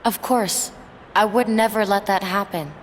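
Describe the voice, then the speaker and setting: An earnest young warrior woman voiced by an actress with a medium-pitched voice and an unhurried pace. She sounds polite and dutiful, a fantasy game apprentice in long reverb with faint noise. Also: lightly acted. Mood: earnest, resolute. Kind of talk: dramatic fantasy game cutscene acting.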